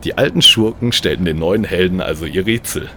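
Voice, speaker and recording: A man's voice: low-pitched, fairly quick, bright, forceful tone, faint music behind. A man narrating a comic audio play as host, with a playful, mock-dramatic storytelling manner.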